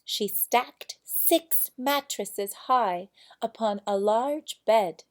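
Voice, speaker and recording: A woman narrating a children's fairy tale from a storybook, with deliberate, expressive phrasing. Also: on the high side. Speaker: storybook reader.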